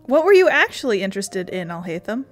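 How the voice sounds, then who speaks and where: A woman's voice: mid-range voice, big pitch swings, fast talker, soft background music. A woman reading video game dialogue aloud as she plays. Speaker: let's play host reading along.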